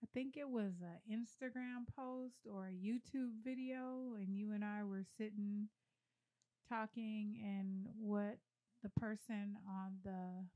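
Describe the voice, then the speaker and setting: A woman's voice: medium pitch, steady tone, slow, mellow, rough recording. Affirming woman in casual chat. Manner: affirming, conversational, frequent agreement. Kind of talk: casual conversation.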